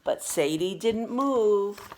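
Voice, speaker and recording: A woman reading a children's picture book aloud with expressive, deliberate storytelling delivery. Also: mid-range voice. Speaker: storybook reader.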